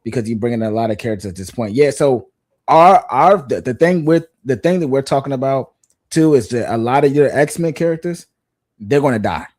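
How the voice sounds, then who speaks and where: A man's voice: mid-range voice, expressive, brisk, a little mellow, no echo, studio quality. A man in his thirties hosting a casual pop culture chat, laid-back and slangy, repeating himself for emphasis. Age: 30s.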